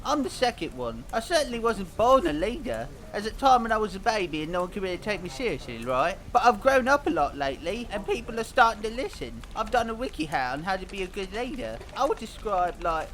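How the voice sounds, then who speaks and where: A man plays a young cartoon band singer with a notably high voice. He is earnest and a bit dim, rambling hesitantly and naively as he answers interview questions. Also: very animated, a little fast, few pauses, a bit bright. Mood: naive, pleased. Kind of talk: scripted mock interview, fan dub.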